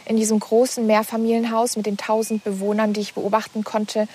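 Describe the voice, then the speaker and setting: A woman in her twenties from Berlin, an author speaking in a measured way. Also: on the high side, level tone, warm tone, slightly rough. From Berlin, Germany. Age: 20s.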